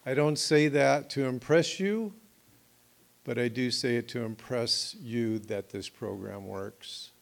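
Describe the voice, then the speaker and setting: A middle-aged man telling his life story at a recovery meeting, halting and full of fillers and restarts. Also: medium pitch, vocal fry. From United States. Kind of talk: personal testimony at a meeting.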